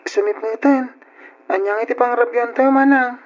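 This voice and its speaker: A woman's voice: on the high side, fairly even intonation, normal speed, lightly acted. A woman playing a young, plaintive, resentful sibling in an old radio drama, with an Ilocano accent. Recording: dull old radio recording.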